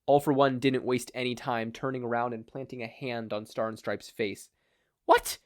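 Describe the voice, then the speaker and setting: A man's voice: mid-range voice, level tone, normal speed, no echo. A male audio fiction narrator with a middle-range voice. He reads scripted prose as a storyteller. The recording is dry, with almost no room sound. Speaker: podfic narrator.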